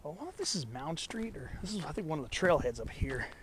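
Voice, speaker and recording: A man's voice: fairly high pitch, very animated, fast talker, background noise. A congested young man from Southern California, narrating a hike as a vlogger in a casual, enthusiastic, rambling way, recorded outdoors on a camera as he walks. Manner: enthusiastic, rambling, botanical asides. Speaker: hiking vlogger. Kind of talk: casual walk-and-talk narration. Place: outdoors in a canyon on a trail.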